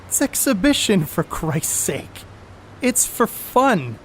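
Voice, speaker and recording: Man acting a brash, high-pitched baseball braggart. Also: very animated, slow, a little hiss or hum, exaggerated voice. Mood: defensive bluster. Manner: cocky, slangy, coarse-mouthed. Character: brash, crude, boastful middle-aged male baseball star. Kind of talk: stage play acting, 1930s American banter.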